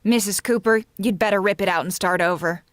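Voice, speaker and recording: A woman's voice: mid-range voice, fairly quick, no echo, natural voice. A woman playing a loyal, wry housemaid in a 1940s-style radio comedy, with a dry, knowing, deferential manner. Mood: matter-of-fact. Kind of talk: 1940s radio sitcom acting.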